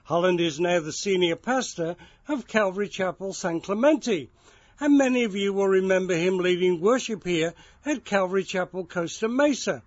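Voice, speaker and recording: A man's voice: high-pitched, lively intonation, normal speed, no room sound. A man with an English accent, hosting a radio interview with inquisitive questions. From England. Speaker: interviewer host. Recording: muffled, dry radio studio sound.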